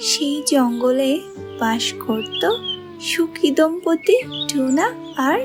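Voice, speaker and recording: A woman reading scripted narration for a children's animated story, as its storyteller. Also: high-pitched, slow. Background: music and birdsong.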